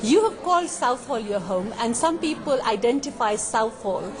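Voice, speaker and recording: A woman interviewer with a high voice asks long, rambling questions and laughs often. A loud crowd fills the space behind her. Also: expressive, normal speed.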